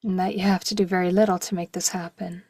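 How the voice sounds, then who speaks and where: A woman leading a guided meditation slowly and gently in short, soft phrases, with a breathy voice that at times nears a whisper. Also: lowish voice, little pitch movement, dry recording. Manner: slow, gentle, with long pauses and short phrases.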